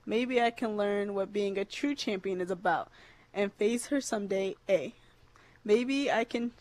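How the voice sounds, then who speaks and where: A woman's voice: mid-range voice, a little mellow, natural voice, poor audio. A woman voicing a perky, fake-sweet Canadian wrestling champion, tagging "eh" onto nearly every sentence.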